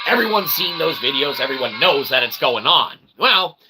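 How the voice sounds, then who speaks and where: A man's voice: mid-range voice, very animated, normal speed, raised voice, music in the background, rough recording. A man hosting a solo web show in a rambling comedic monologue, theatrical and goofy, with wordy mock-grandiose phrasing.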